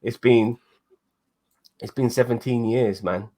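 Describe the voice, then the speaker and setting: A man's voice: mid-range voice, steady tone, slow pace, warm tone. A man in his forties, co-hosting a casual chat, rambling and swearing often as he talks. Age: 40s. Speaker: podcast co-host.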